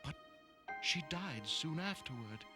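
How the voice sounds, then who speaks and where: An actor playing a sorrowful, dramatic storyteller in an animated film, with an emotive, theatrical delivery. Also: male voice, on the high side, takes it slowly. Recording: loud music behind the voice. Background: loud music.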